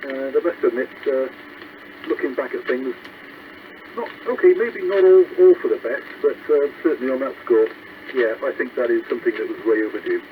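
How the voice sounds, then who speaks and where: A man running a CB radio net, calling stations in turn in a measured, formal way. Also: medium pitch. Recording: tinny radio transmission with loud static.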